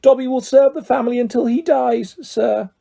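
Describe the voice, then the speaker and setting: A reader voicing a small, servile male house elf with a high, squeaky voice who talks about himself in the third person. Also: fairly even intonation, moderate pace, gravelly, dark tone. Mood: earnest, pleading. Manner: speaks of himself in third person, adds "sir".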